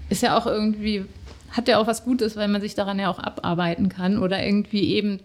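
A woman's voice: mid-range voice, little pitch movement, fast talker. A middle-aged woman from Germany, a guest telling her story in casual conversation, hesitant with many fillers.

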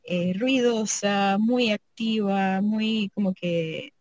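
A woman's voice: mid-range voice, slow pace, darkish tone, dry recording. Reflective woman thinking aloud, dry sound. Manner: reflective, thinks aloud, frequent tag questions. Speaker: sound artist and double bass player. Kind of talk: casual conversation about her artistic work.

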